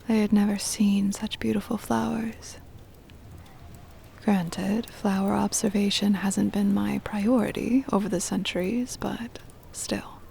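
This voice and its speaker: An ancient female vampire mistress, played by a woman speaks in a low, slow, intimate whisper with a creaky edge. She is possessive and doting, with formal, old-fashioned phrasing in an ASMR roleplay style. Faint noise sits behind the voice. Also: dark tone. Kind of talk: intimate ASMR roleplay, scripted dramatic monologue.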